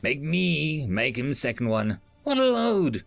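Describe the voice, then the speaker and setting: A man's voice: a little high, sing-song, slow, creaky, no echo, faint music behind, pitched above the performer's own voice, exaggerated voice. A man voicing a boastful, chatty male chef who rambles in folksy slang.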